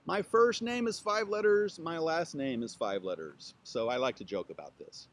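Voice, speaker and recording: A man hosting his own channel, chatting playfully to camera outdoors and spelling words out slowly letter by letter. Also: fairly high pitch, animated. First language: English. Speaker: YouTube channel host. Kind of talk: casual self-introduction to camera. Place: outdoors with trees behind.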